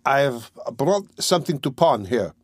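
A man's voice: mid-range voice, very animated, measured pace, slightly rough, no room sound, clean studio sound. A man voicing a poor, tense young man who talks in a terse, guarded, brooding way.

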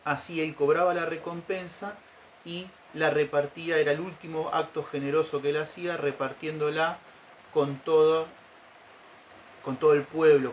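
A man's voice: a little high, a little hiss or hum, rough recording. Man telling a legend on camera. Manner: explanatory, checks in with "¿sí?". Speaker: storytelling show host. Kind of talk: casual storytelling to camera. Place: living room, seated on a sofa.

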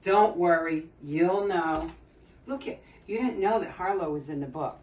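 A woman's voice: on the low side, a little slow. A middle-aged woman reading a children's story aloud, expressively, with chatty asides to her young listeners. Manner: expressive, addressing children and prompting them. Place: small tiled sitting room at home, indoors. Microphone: phone camera a few metres away. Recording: roomy, distant mic with background noise.

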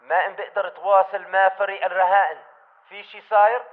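A man's voice: sits high, steady tone, fast talker. A man playing a wary militant with a Levantine Arabic accent, speaking through a radio filter in a video game. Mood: worried. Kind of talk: video game combat chatter. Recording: phone-like tinny radio transmission.

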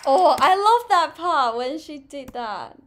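A young adult woman giving casual, excited reaction commentary in an expressive, exclaiming way, recorded on a lapel mic in a bedroom. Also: high voice, slow pace, over music. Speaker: reaction video host. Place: small bedroom, indoors. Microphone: clip-on lapel mic.

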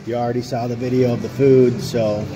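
A man's voice: medium pitch, little pitch movement, fairly quick. Chatty guy reviewing pub food. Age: 30s. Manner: upbeat, chatty, rambling with fillers. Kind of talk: casual food review vlog. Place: booth in a pub restaurant. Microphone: phone held at arm's length.

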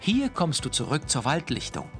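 A man guides the player through a computer game in scripted lines. His voice is fairly high and lively, over music. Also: moderate pace. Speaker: game instructor.

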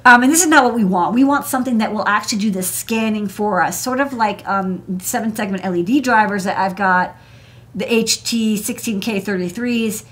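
A woman's voice: mid-range voice, normal speed, fairly bright tone. A woman in her thirties, an electronics engineer hosting a desk show, walking through parts in a casual, chatty way with many fillers and restarts, seated at her workshop desk. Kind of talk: casual technical walkthrough.

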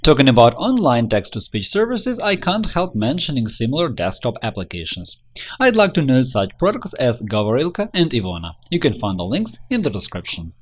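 A man presenting a software tutorial in scripted, step-by-step narration. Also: medium pitch, wildly swooping pitch, a little fast.

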